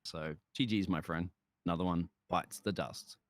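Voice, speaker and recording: A man's voice: low voice, steady tone, moderate pace. A man commentating a sim race and interviewing drivers in a chatty, matey way with frequent restarts.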